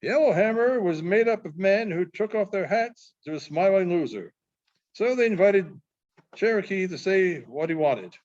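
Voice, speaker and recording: A man reading a short story aloud, haltingly, stumbling over and rereading phrases. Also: sits high, animated, darkish tone. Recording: dull, muffled sound.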